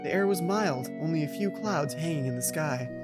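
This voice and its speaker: A man with a fairly high voice plays through a visual novel for an audience. He reads the text aloud, stumbles now and then and drops in casual remarks of his own. Music plays clearly behind his voice. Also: expressive, moderate pace. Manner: stumbles over read text, interjects casual asides. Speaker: gaming video host. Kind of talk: let's play commentary mixed with reading visual novel text aloud.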